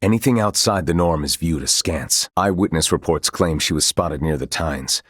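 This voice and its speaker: An actor playing a grave, dutiful military commander in a fantasy video game, speaking in formal, courtly phrases with measured care. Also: male voice, extremely low pitch, a bit bright, breathy edge, dry recording, clean studio sound, heavily acted. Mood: grave, guarded. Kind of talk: scripted video game dialogue.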